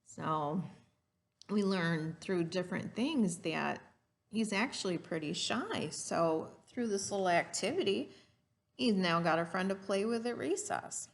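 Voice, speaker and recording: Expressive woman reading aloud, slightly creaky voice. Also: lowish voice, a little slow. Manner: didactic, asks the listener questions. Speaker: teacher reading a picture book aloud. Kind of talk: read-aloud storytelling with explanatory asides to children.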